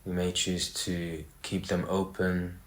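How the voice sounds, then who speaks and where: A man with a deep voice leads a guided meditation in a roomy, echoing space. He speaks very slowly, setting out short phrases and leaving pauses between them. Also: monotone. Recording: roomy, echoey sound.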